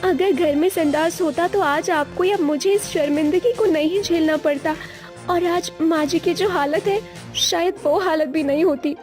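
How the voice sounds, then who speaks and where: A woman's voice: extremely high pitch, moderate pace, a little mellow, faint music behind. A woman voicing an outspoken, earnest young village woman in a cartoon family story. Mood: reproachful. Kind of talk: acted cartoon story dialogue.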